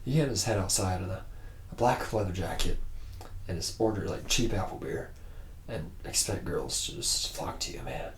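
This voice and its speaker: A man's voice: sits low, animated, normal speed, slight background noise. A man playing a cocky, hard-drinking young factory mechanic in an ASMR roleplay, rambling in boastful, teasing bro slang. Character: drunken womanizing young male robot factory mechanic, cocky slacker.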